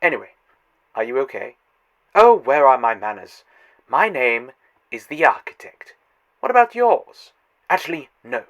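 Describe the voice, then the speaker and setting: A man's voice: mid-range voice, sing-song, measured pace, long pauses. A man voicing a taunting, godlike male trickster in a fan audio drama, theatrical and mocking. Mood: teasing. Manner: theatrical, taunting, swinging loud to hushed.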